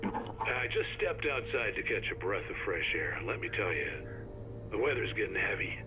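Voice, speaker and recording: An actor playing a reflective, friendly late-night radio host, musing and reassuring in a folksy way. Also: male voice, fairly low pitch, very animated, moderate pace, over music. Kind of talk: intimate late-night radio monologue and call-in. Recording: dull radio broadcast sound.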